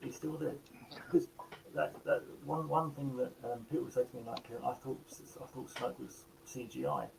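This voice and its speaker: A man with a croaky voice, a guest actor talking in a casual live chat, halting with many restarts. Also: medium pitch, big pitch swings, background noise.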